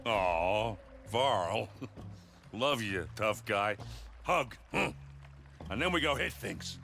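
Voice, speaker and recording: An actor playing a goofy, laid-back, food-loving warrior sidekick with a jokey, blunt manner in an animated game. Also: male voice, lowish voice, extremely slow, vocal fry, soft background music, exaggerated voice. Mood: goofy, affectionate. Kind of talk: animated game cutscene acting.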